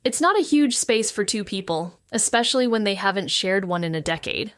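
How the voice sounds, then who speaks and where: A woman's voice: mid-range voice, lively intonation, fairly quick, no echo, clean studio sound, close to an everyday voice. A woman playing a wry, self-mocking young sleuth back in her hometown, narrating in the first person and stumbling a little in conversation. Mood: awkward. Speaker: narrator and lead character. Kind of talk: first-person noir audio drama narration.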